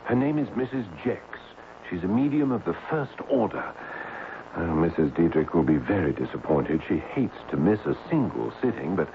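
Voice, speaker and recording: An earnest young spiritualist, widely swooping. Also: male voice, deep voice, big pitch swings, normal speed, gravelly, full character voice. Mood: friendly, anxious. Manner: reverent, polite, ceremonious. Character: earnest, devout young male believer in spiritualism. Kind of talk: old-time radio drama acting. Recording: tinny, very noisy old radio recording.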